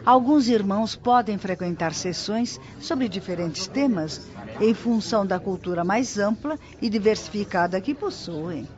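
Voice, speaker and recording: A Brazilian actress playing a patient, helpful spirit guide in a radio drama, explaining things gently and formally. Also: female voice, mid-range voice, lively intonation, unhurried, dull audio, lightly acted. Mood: patient, explanatory.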